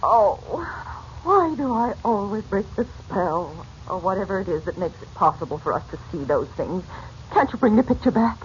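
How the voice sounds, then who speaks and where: A woman's voice: mid-range voice, very animated, normal speed, close to an everyday voice. An actress playing an anxious, hopeful middle-aged mother, earnest and emotional, in 1940s radio serial style. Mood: agitated. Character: anxious, hopeful mother longing for lost husband. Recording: dull old radio recording, faint noise.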